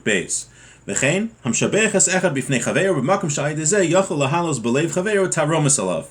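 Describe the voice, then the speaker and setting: A man's voice: medium pitch, a bit bright, husky. A man giving an informal lesson as a religious teacher, reading from a text and then explaining it with everyday examples.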